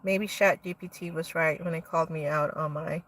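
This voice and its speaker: Lowish-voiced woman reading her own poems. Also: fairly even intonation, moderate pace, fairly bright tone, far from the mic, a little hiss or hum, low-quality recording. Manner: reads her poems aloud between loose asides. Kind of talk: casual livestream poetry reading.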